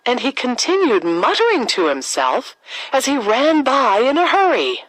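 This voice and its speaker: Slow, animated woman storyteller. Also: high-pitched, dry recording, poor audio. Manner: expressive, dramatic storytelling emphasis. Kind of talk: scripted children's fairy tale narration.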